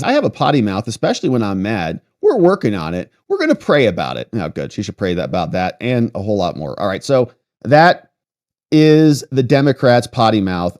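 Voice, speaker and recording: American guy doing livestream politics commentary, really animated up and down delivery, snarky asides while reading stuff out. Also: mid-range voice. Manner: sarcastic, mocking asides.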